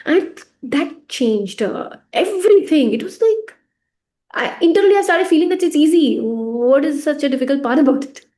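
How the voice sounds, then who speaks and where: Woman, lively with fading endings. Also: high voice, moderate pace. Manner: lively, laughs often, trails off softly at phrase ends. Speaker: pranic healing teacher and center organizer. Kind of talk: casual interview answers.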